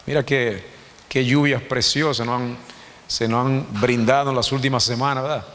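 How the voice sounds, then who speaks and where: A Dominican man in his fifties teaches a Sunday congregation in a loose, conversational way, tossing in jokes and checking in with his listeners. His voice sits at an ordinary middle pitch. It rings out with a long reverb, as in a large hall. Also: steady tone, moderate pace, a bit bright. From Dominican Republic. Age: 50s. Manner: conversational, joking, asks the congregation "¿verdad?". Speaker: church teacher. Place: church hall.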